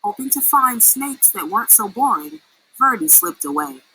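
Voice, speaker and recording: A woman reading a children's story aloud in an expressive storytelling style. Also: on the high side, moderate pace, breathy edge, low-quality recording. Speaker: story reader.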